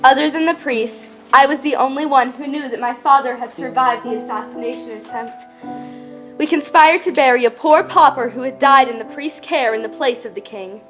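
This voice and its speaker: Woman as young princess, high voice, gracious, devoted, stage musical reading. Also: moderate pace, fairly bright tone, faint music behind. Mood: explanatory.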